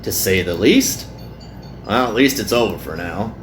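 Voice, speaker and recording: A man's voice: on the low side, expressive, measured pace, soft background music. A man voicing a weary, self-deprecating young male worker in a visual novel. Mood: resigned.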